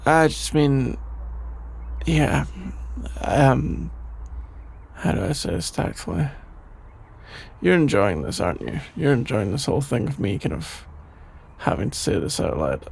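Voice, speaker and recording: A man acting out a shy young guy in an ASMR roleplay, the flustered best friend. His voice is heavily creaky and slow, mid-pitched for a man. He talks haltingly, sleepy and bashful, as if embarrassed to finish his sentences. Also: big pitch swings, a little mellow, exaggerated voice. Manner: hesitant, halting, trailing off with sighs and nervous chuckles. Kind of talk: intimate ASMR audio roleplay, improvised-sounding dialogue.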